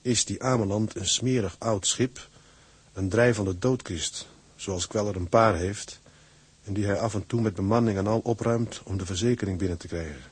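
A seaman telling his story as a letter to his wife in a radio drama. His voice is low and husky, recorded very dry. He narrates with affection. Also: moderate pace, no echo. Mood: fond, storytelling.

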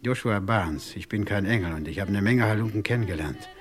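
An actor playing a menacing gangster boss in a German crime radio play, mock-friendly, condescending and threatening. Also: male voice, on the low side, a little fast, lightly acted. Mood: threatening, contemptuous. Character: menacing, sneering gangster boss owed money.

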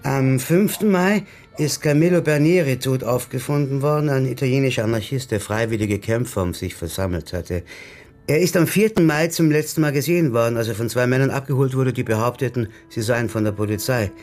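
An actor playing a well-informed political comrade of a detective in a radio crime drama, speaking in a wry, knowing manner. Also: male voice, mid-range voice, fairly quick, darkish tone, close to an everyday voice. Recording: studio radio drama with music underneath.

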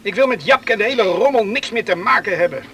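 Stubborn young lad in a radio play, bright forceful voice, dismissive, slight noise behind. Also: male voice, high-pitched, quick pace. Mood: defiant, irritated. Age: young adult. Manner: blunt, emphatic, dismissive. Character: stubborn, defiant young man longing for the sea.

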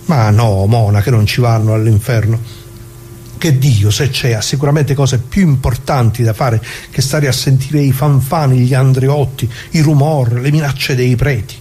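A man's voice: medium pitch, fairly even intonation, normal speed, mellow, a little hiss or hum, lightly acted. An actor playing a talkative working-class grandfather, an old leftist reminiscing in a folksy radio monologue full of asides. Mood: indignant. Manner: folksy, rambling, full of asides and rhetorical questions. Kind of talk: theatrical monologue for radio, colloquial storytelling.

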